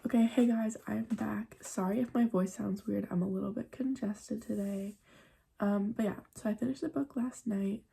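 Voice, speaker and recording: Breathy, gravelly young woman vlogging. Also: medium pitch, steady tone, moderate pace, warm tone, faint music behind. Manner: chatty, lots of "like" and "um" fillers. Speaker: book vlogger. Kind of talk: casual vlog chat. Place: at home in front of a bookshelf.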